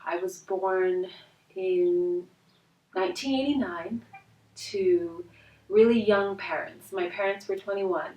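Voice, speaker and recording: A woman in her twenties from India, a native Hindi speaker, telling her life story as a life coach in an earnest, reflective way with soft pauses. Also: medium pitch. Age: 20s. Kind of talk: personal storytelling vlog. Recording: roomy echo, voice from a distance.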